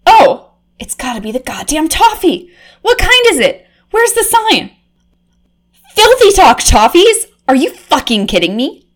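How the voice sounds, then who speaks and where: A woman playing a flustered undercover reporter. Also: heavily acted. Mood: frantic. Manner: shifts between hushed murmurs and shrill cursing outbursts. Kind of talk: comedic erotic audio drama monologue.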